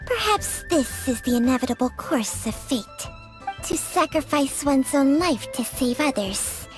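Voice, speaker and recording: A woman's voice: high voice, expressive, a little slow, music in the background. A woman voicing an ancient female talking snake in a video game, sly and world-weary, with a theatrical, knowing delivery. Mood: somber, reflective.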